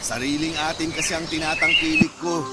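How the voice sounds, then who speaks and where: A man's voice: on the high side, fairly even intonation, fairly quick, heavy background noise, close to an everyday voice. A man voicing a nosy neighbor who mocks and teases in a playful way. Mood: teasing. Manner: mocking, playful. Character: teasing nosy male neighbor. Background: loud background music.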